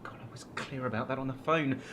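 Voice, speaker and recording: A man's voice: mid-range voice, fairly even intonation, fast talker, fairly bright tone, slight background noise, lightly acted. An actor playing a defensive, stubborn man in denial in an audio drama, well-spoken and British, with clipped, hesitant politeness. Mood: indignant, stubborn. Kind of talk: naturalistic audio drama acting.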